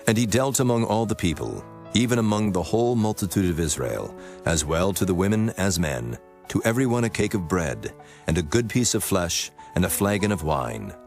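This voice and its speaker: A man narrating scripture from a script in a solemn, measured manner. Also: low voice, expressive, a little mellow. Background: music.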